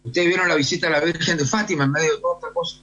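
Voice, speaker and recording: An older Chilean man with a husky, rough-edged voice speaks as a priest being interviewed. He talks discursively, checking for agreement as he goes. The recording is a little dull and lo-fi. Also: a little high, fairly even intonation, very fast, pushed and bright, faint music behind. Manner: discursive, often seeks agreement with tag questions. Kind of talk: conversational interview answers.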